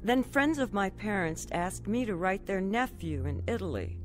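A woman's voice: medium pitch, expressive, moderate pace, soft background music, natural voice. An actress playing a troubled, anxious young woman telling her life story earnestly in a radio drama. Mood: somber confiding. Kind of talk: radio drama testimony narration and acting.

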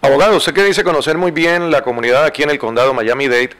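A man's voice: a little high, fairly even intonation, quick pace, fairly bright tone. A man asking an interviewee brief, direct questions, heard off camera. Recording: roomy, distant off-camera voice.

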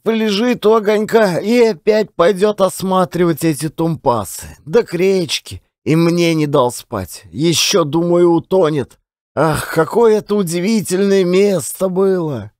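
A man's voice: high-pitched, no echo, studio quality. A man, an actor narrating an audiobook of a classic tale as a dramatic, folksy, colloquial storyteller. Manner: folksy, colloquial yarn-spinning.

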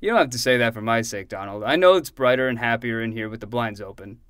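An actor playing a retired, fussy but affectionate older uncle in a fiction podcast. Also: male voice, medium pitch, fast, a bit bright, clean tone, dry recording, natural voice. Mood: kindly.

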